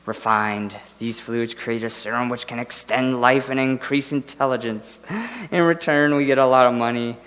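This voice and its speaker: A man's voice: mid-range voice, fairly even intonation, moderate pace, fairly bright tone, natural voice. A man playing a sinister mad doctor in a live audio drama, smugly genial, patronizing and casually menacing. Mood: smug, explaining. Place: indoor hall, live stage performance. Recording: echoey hall, noisy live stage recording.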